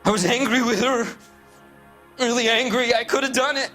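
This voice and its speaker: An actor playing a shaken, guilt-ridden murder suspect who speaks in halting, broken phrases in a dramatic game. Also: male voice, extremely high pitch, fairly even intonation, pushed and bright, soft background music. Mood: anguished. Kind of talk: dramatic video game acting.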